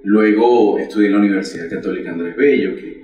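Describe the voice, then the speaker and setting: A man in his forties gives an earnest, measured account of his faith as a guest. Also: fairly low pitch, mellow, roomy sound, recorded from a distance, muffled recording, soft background music. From Venezuela. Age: 40s. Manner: earnest, measured storytelling with pauses. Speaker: catechist guest. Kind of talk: personal faith testimony.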